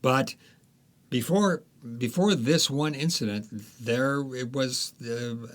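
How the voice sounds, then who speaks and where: A man's voice: medium pitch, slow. A man, an author guest in a podcast interview, talking casually and hesitantly with many restarts.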